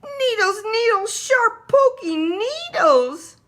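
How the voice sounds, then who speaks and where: A woman voicing a whiny little brother in a children's picture book, exclaiming in drawn-out, repeated yelps. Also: very high-pitched, expressive, a bit bright, pitched above the performer's own voice, exaggerated voice. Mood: disgusted, yelling. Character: whiny, squealing little brother, a small boy.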